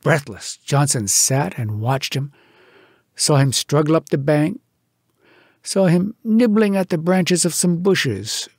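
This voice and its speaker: A man reading humorous poetry aloud as a storyteller, in an expressive, measured, theatrical way. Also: on the high side, breathy edge, no echo, clean studio sound. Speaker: poetry reader. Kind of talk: dramatic storytelling recitation of humorous verse.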